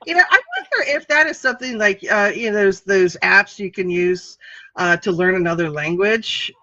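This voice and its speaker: A woman's voice: mid-range voice, expressive, normal speed, bright, forceful tone, dry recording, dull audio. A woman co-hosting a casual online chat show, talking loosely, laughing often and cursing casually. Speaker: co-host. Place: home with animals behind her.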